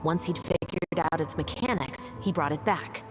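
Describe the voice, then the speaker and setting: An actress playing a composed, methodical young woman detective in a video game, speaking in a measured, articulate and analytical way. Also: sits low, music in the background, close to an everyday voice. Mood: thoughtful, explanatory. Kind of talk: scripted video game dialogue.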